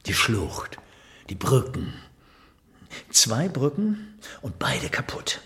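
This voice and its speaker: Opinionated West Berlin curator, irritable and brooding, mid-range voice, clipped repeated phrases, naturalistic radio drama. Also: male voice, medium pitch, wildly swooping pitch, takes it slowly, pushed and bright, whispered, exaggerated voice.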